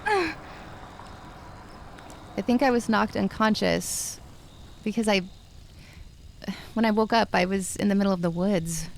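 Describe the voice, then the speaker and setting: A woman's voice: mid-range voice, unhurried, gravelly, pauses often, slight background noise. A woman acting a wounded, defensive woman in her twenties in a dramatized audiobook, tough and guarded. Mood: haunted. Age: 20s. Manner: guarded, bitter, profane. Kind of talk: dramatized audiobook, first-person recounting.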